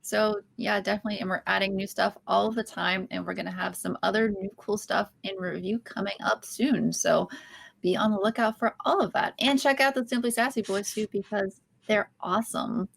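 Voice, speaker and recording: A bubbly woman co-hosts a podcast and chats eagerly about what she has coming up. Her voice is mid-pitched with a gravelly rasp. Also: fairly even intonation, normal speed. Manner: bubbly, enthusiastic. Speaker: podcast co-host. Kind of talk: casual chat.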